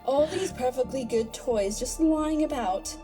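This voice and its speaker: A woman's voice: high-pitched, measured pace. A woman voicing a gleefully sadistic genie villainess in an audio drama, with a theatrical, singsong, taunting manner. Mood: gleeful menace. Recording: music under the voice. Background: music.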